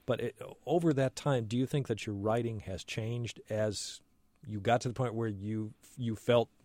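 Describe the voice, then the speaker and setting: A man interviewing someone in unscripted talk, phrasing his questions in a tentative, halting way and often rephrasing as he goes. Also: mid-range voice, fairly even intonation, a little mellow, dry recording.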